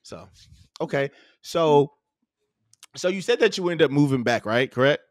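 A man's voice: a little high, animated, moderate pace, dry recording. A man, hosting a casual podcast interview, talking loosely with many fillers and restarts on a studio mic. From southern United States. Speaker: podcast host. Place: home studio. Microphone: studio mic with headphones.

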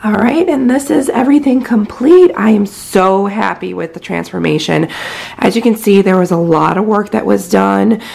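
Gravelly-voiced woman giving a home tour. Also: mid-range voice, moderate pace, darkish tone. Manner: chatty, many fillers and "like". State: scratchy voice. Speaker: home decor vlogger. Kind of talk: casual home tour narration. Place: inside a house. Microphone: handheld phone camera.

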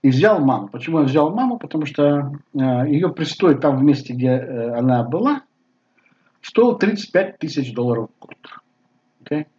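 A man in his sixties with a Bulgarian accent, talking casually to camera at home, halting with many fillers. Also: medium pitch, lively intonation, mellow. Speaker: vlogger. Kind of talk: casual personal talk to camera. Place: living room at home. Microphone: webcam at desk. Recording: slightly dull webcam audio.

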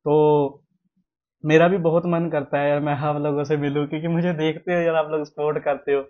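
A man's voice: fairly high pitch, little pitch movement, normal speed, lo-fi sound. A young man hosting a casual home vlog, chatting to viewers and repeating himself often. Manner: chatty, repeats himself, sprinkles English words. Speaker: vlogger host. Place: small room at home, indoors.

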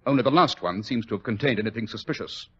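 An actor playing a shrewd, coolly teasing detective in theatrical, precise 1940s radio style. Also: male voice, lowish voice, fast talker, slightly rough. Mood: coolly assured. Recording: muffled 1940s radio recording.

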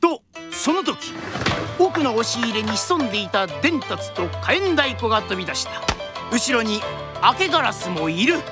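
Animated woman storyteller narrating over music. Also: fairly high pitch, normal speed. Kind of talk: dramatic scripted narration in traditional Japanese storytelling manner.

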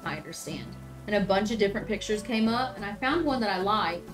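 A woman's voice: mid-range voice, lively intonation, a little fast, low-quality recording. Woman, Southern US accent, streamer, chatty, headset mic, faint game music behind. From Southern United States. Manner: chatty, reads chat aloud, frequent ums. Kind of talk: casual gaming stream chat. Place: small room indoors.

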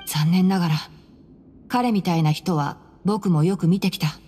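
Calm, high-voiced youthful scholar. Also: male voice, normal speed, husky. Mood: grave. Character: calm, tactful youthful male scholar with medical knowledge. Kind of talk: Japanese anime game voice acting.

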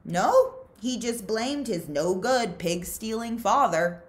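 A woman reads an exasperated dad in a storybook. He explains things to his son in a matter-of-fact but emphatic way, with lively swings in pitch. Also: slow. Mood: exasperated, explaining. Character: exasperated father recounting family history to son.

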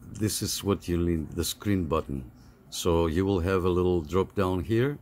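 A middle-aged man giving a casual, halting, step-by-step phone app tutorial over some background noise. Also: deep voice, a little mellow. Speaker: tutorial host.

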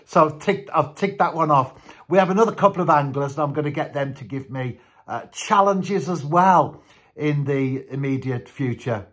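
A man's voice: on the high side, a bit bright. A man in his fifties from Britain, chatting enthusiastically about fishing as a guest on a radio call from his living room while wearing headphones. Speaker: radio guest and angling vlogger. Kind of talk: casual chat about fishing.

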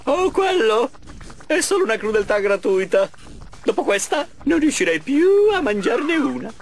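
A man voicing a vain mad-scientist villain in an animated game, theatrical, smug and mocking. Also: very high voice, moderate pace, a little hiss or hum, exaggerated voice. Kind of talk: animated game cutscene dub.

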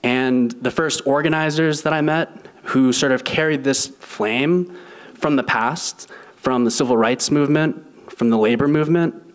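A man in his twenties sharing a personal story at a church lectern, thoughtful and halting with frequent ums and restarts. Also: medium pitch, rough recording. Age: 20s. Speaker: guest speaker. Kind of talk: personal testimony at a service. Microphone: lectern mic.

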